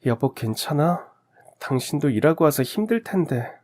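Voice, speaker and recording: A man voicing a gentle, caring young wife and expectant mother in a radio story drama. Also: a little high, expressive, moderate pace, voice pushed up. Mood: tender concern.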